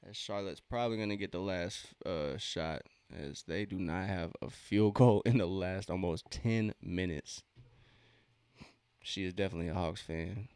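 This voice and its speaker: Chill 30 yr old dude streaming a game, low voice, slangy chat, dry sound no room echo. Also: male voice, darkish tone, clear, resonant tone. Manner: laid-back, slangy. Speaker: livestream watch-along host. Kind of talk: casual sports commentary and chat with viewers.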